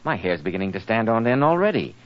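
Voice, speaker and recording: Casting: male American vintage radio announcer, lowish voice, lively chummy sales delivery, slightly muffled old recording. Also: quick pace. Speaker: announcer and sponsor pitchman. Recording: dull lo-fi 1940s radio recording.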